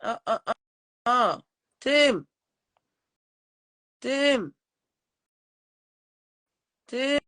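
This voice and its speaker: A woman's voice: high-pitched, animated, very slow, long pauses, a bit bright. A woman from Portugal and Switzerland hosting a casual live interview, informal and given to repeating her words. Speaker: podcast host.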